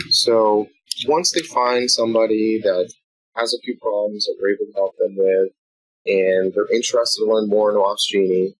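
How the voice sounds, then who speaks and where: A man with a Boston accent, a sales team manager, answering podcast questions in a direct, measured way and explaining things step by step. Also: on the low side, rough recording.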